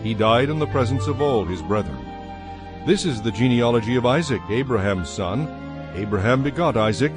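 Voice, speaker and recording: A man narrating dramatized scripture in a solemn, measured manner. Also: medium pitch, animated. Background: music.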